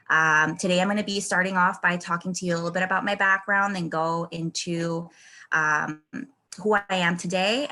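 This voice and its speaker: Bright-voiced woman giving a speech. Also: fairly low pitch. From Oceanside, California. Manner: friendly, candid, with frequent ums. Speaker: club member giving a first speech. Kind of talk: prepared personal speech. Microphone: video call.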